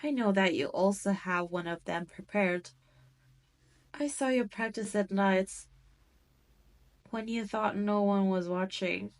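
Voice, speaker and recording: A woman's voice: medium pitch, lightly acted. A woman playing a cruel, arrogant demon queen in a roleplay audio drama, teasing and condescending as she speaks straight to the listener. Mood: gloating, mocking. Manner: teasing, condescending, drawn-out delivery. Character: cruel, arrogant adult female demon queen, mocking and possessive.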